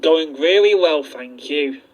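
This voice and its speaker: A man playing a polite, halting archaeology professor and explorer in a fan audio drama. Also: on the high side, animated, low-quality recording.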